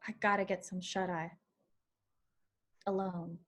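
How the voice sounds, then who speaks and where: A woman's voice: on the low side, little pitch movement, measured pace, fairly bright tone. A woman playing a young female country singer, guarded and weary but playfully teasing, in a screenplay table read. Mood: tired, guarded.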